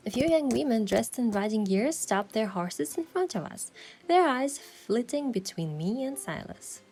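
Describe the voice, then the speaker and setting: An actress playing a playful, determined young woman who narrates her romantic game adventure in a measured, expressive way. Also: medium pitch, slight background noise, close to an everyday voice. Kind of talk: first-person story narration and dialogue.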